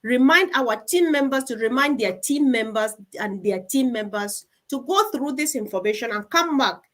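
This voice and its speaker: Higher-voiced woman hosting an online meeting. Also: expressive, moderate pace, a bit bright. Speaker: host of an online team meeting. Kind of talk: live online group discussion.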